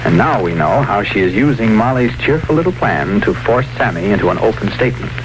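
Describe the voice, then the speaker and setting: A man's voice: fairly low pitch, animated, a little fast, rough recording. A man narrating an old-time radio soap opera from a script. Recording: vintage radio recording, music behind.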